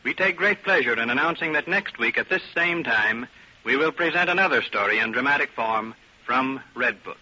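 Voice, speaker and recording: A man's voice: medium pitch, animated, normal speed, sharp tone. A man reading a formal scripted announcement in old-time radio style. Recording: muffled old radio broadcast.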